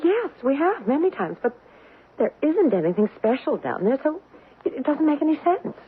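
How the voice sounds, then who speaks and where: A woman's voice: high-pitched, big pitch swings, normal speed, phone-quality sound. An actress playing a frightened, anxious young wife and mother in an old radio drama, nervous and hesitant. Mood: anxious, earnest. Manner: nervous, hesitant, repeating words. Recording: band-limited, noisy old radio recording.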